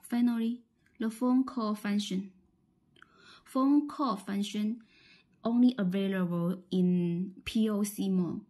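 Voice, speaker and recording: A woman with a Chinese accent giving a halting, step-by-step instructional product demonstration, often repeating phrases. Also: medium pitch, warm tone.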